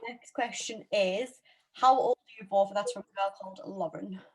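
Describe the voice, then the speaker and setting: A woman's voice: mid-range voice, normal speed, darkish tone, husky, low-quality recording. A woman of about twenty chatting casually as a co-host, often telling stories in a giggly way.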